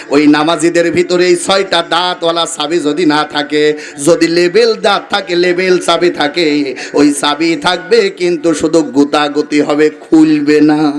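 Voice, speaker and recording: A man's voice: high-pitched, a bit bright. A Bangladeshi man preaching an Islamic sermon emphatically, repeating phrases and urging his listeners to respond. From Kushtia region, Bangladesh. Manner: emphatic, rhetorical repetition, exhorting listeners to answer. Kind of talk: fiery sermon with call-and-response. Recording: live gathering with crowd behind. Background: crowd of listeners.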